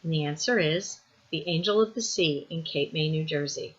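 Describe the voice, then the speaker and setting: A woman's voice: low-pitched, normal speed, slightly rough, muffled recording. A woman author of young adult books giving a short introduction with a trivia question.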